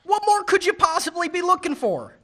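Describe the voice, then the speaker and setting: A naive, earnest marina worker. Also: male voice, very high voice, sing-song, a little fast, bright, forceful tone, full character voice. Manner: earnest, guileless. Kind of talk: radio play acting.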